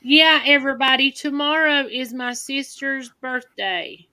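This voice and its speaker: Woman, fifties, livestream host, high voice, unhurried. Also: pushed and bright, poor audio. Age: 50s. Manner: chatty, laughs easily. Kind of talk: casual chat.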